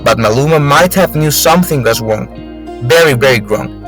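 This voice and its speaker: Young man narrating over soft music. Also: mid-range voice, moderate pace. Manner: read aloud with stumbles. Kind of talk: amateur scripted radio drama narration.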